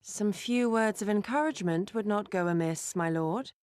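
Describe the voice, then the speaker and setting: A woman's voice: medium pitch, normal speed, darkish tone, smooth, dry recording, clean studio sound, close to an everyday voice. An actress playing a polite, formal female subject of a lord in a fantasy game. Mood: gently prompting. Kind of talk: cinematic fantasy game voice acting.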